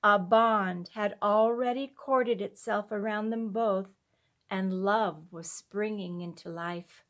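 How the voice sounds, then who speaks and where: Slow-paced woman reading a classic tale. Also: medium pitch. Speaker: storyteller. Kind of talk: scripted storybook narration.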